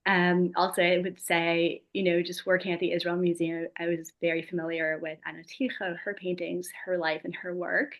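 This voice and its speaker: Earnest woman author, flat and measured. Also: on the low side. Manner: earnest, measured, lots of ums and "you know". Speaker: author guest. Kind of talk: talking about her book.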